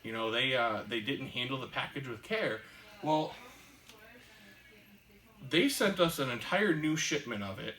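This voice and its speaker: A man co-hosts a casual podcast and tells a long, rambling story, full of "um"s and "uh"s. His voice is bright and clear, at a middling pitch. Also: lively intonation, a little slow, pauses often. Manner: rambling storyteller with many fillers. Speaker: podcast co-host. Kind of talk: casual chat.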